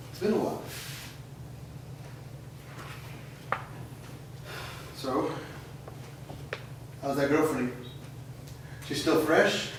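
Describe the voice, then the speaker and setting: A man's voice: mid-range voice, close to an everyday voice. A man playing a menacing, controlling father at a staged play reading, threatening and cold. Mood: leering. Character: menacing abusive middle-aged stepfather Kevin. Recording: echoey room from far off, noisy.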